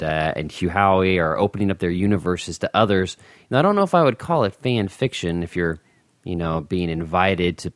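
A man's voice: low-pitched, no room sound, clean studio sound. A man hosting a podcast and interviewing guests in a conversational way.

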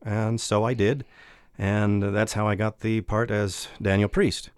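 A middle-aged man with a fairly low voice speaks casually, telling anecdotes in a reflective way. The sound is dry, with very little room. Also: flat delivery, measured pace, clear, resonant tone, no echo. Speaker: voice actor. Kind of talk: casual anecdotal interview talk.

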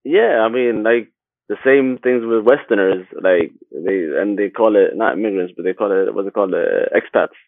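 A man from Sweden talking casually as an interview guest, haltingly, often repeating words and rephrasing as he goes. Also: fairly low pitch, no echo, phone-quality sound. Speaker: interview guest, agency founder.

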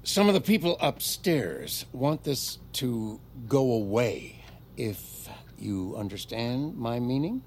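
An actor playing an impatient, sarcastic and commanding army corporal in an audio drama, with a clipped, threatening delivery. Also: male voice, medium pitch, very animated, slow, a little hiss or hum. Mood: impatient, hushed pressure.

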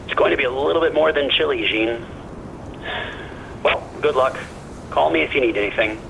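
An actor playing a cautious, dry-humored friend who worries, speaking hesitantly in a naturalistic audio drama over a radio call. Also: male voice, medium pitch, moderate pace, creaky, noisy background, natural voice. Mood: worried. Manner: hesitant, fretful, wry.

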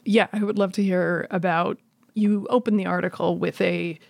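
A woman's voice: fairly low pitch, steady tone, moderate pace, gravelly, dry recording. A woman interviewing a guest in a conversational style, framing questions as she goes. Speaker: podcast interviewer.

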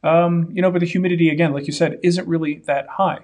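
A man in his forties co-hosting a live woodworking Q&A, giving practical advice in a relaxed, folksy way. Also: fairly high pitch, quick pace. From Denver area. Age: 40s. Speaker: woodworking show co-host. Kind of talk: live-stream Q&A, casual chat.

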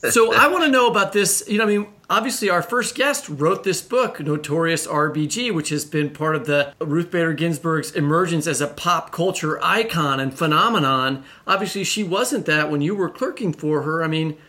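A woman's voice: low voice, normal speed, hardly any pauses, a bit bright. A woman co-hosting a conversational interview, informal and given to teasing interjections. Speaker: podcast co-host.

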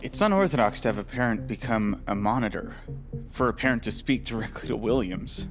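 An actor playing a troubled, earnest archivist in an audio drama, halting and unsure of himself. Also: male voice, medium pitch, over music, natural voice. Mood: pondering. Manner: halting, self-doubting.

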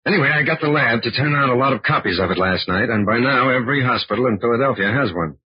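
An actor playing a brisk, methodical federal agent reporting on a case in an old-time radio drama. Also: male voice, medium pitch, slightly rough, no room sound, close to an everyday voice. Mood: businesslike. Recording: dull, band-limited old radio recording.

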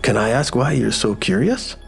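An actor playing a wry, matter-of-fact medical examiner who explains with morbid humor in an audio drama. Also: male voice, medium pitch, lively intonation, moderate pace, creaky, soft background music.

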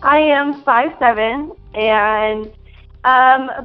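Slow-talking young woman, hesitant. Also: sits high, narrow, tinny audio, soft background music. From Minnesota. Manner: hesitant, many fillers, giggly. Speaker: interview guest. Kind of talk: casual interview answers.

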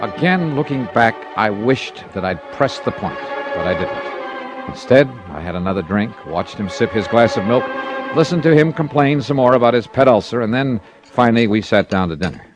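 An American man playing a wry, hard-boiled insurance investigator in a 1950s radio detective drama, brisk in manner. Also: medium pitch, animated, natural voice. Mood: wry narrating. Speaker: lead actor and narrator of a radio detective series. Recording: vintage radio recording with music.

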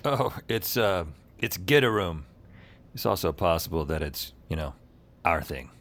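A man's voice: sits low, expressive, a little slow, fairly bright tone, exaggerated voice. An actor playing a laid-back, somewhat hesitant man who is new to magic, in a naturalistic audio drama. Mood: awkward. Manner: hesitant, casual. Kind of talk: naturalistic audio fiction acting.

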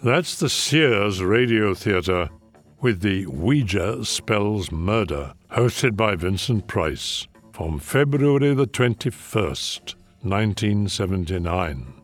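A man introducing old radio shows as host, measured and formal. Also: on the low side, expressive, faint music behind.

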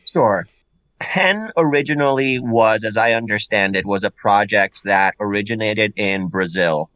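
Low-voiced Puerto Rican man, rambling. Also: unhurried, a bit bright, no room sound, poor audio. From Puerto Rico. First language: Spanish. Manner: rambling, restarts phrases, repeats words. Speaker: guest artist. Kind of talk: casual podcast interview.

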